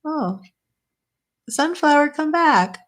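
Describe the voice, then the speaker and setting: A woman reading tarot cards on a live stream. She chats casually and thinks aloud, and her voice is getting worn and a little hoarse so she keeps clearing her throat. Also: high-pitched, lively intonation, takes it slowly. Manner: chatty, thinking aloud, with small laughs. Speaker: tarot reader.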